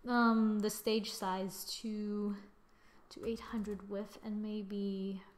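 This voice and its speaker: A woman narrating a software tutorial casually, thinking aloud as she goes in an informal way. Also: medium pitch, little pitch movement, very slow. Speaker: tutorial presenter.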